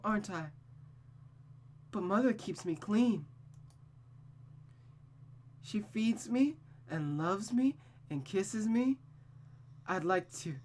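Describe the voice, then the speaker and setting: A woman's voice: mid-range voice, darkish tone, slight background noise, pitched above the performer's own voice. A woman voicing a childlike, deranged young woman from a visual novel, devoted and murderous, with a pleading, menacing sing-song delivery. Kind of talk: visual novel character voice acting.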